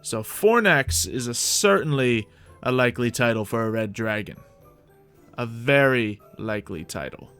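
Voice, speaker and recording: A man's voice: medium pitch, animated, normal speed, a bit bright, clean tone. A man hosting a gaming series, thinking aloud in light roleplay with mock-archaic, formal fantasy phrasing. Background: music.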